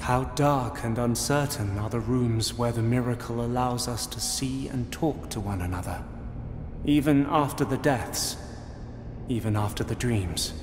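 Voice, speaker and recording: An actor playing a solemn, ghostly holy witness in a dark fantasy game, speaking in measured, archaic phrases. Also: male voice, mid-range voice, lightly acted. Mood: solemn, mournful. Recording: long echoing reverb over music.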